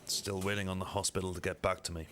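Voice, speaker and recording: Guy playing a methodical detective in a radio drama, low voice, formal questions, bit of background noise. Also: flat delivery, fast talker, natural voice. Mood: musing. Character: methodical male police detective, probing and matter-of-fact. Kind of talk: amateur radio drama acting.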